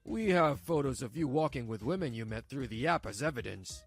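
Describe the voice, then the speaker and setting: A man's voice: medium pitch, animated, a little fast, breathy edge, soft background music. A man voicing a stern, unyielding lawyer in a manga courtroom scene, speaking formally and firmly. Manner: formal, firm. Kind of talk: dubbed manga drama acting.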